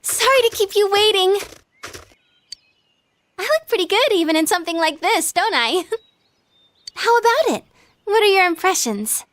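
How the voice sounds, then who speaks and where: Teenage idol girl, high voice, American accent, cutesy, coy, confident, playful anime game style. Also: animated, normal speed, long pauses, fairly bright tone. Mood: playful, showy. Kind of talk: anime video game dub acting.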